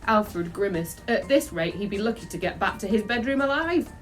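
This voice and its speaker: A woman narrates a children's story aloud. Her delivery is expressive, in a mid-range voice, with faint music playing behind her. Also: medium pitch, normal speed. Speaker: storybook reader.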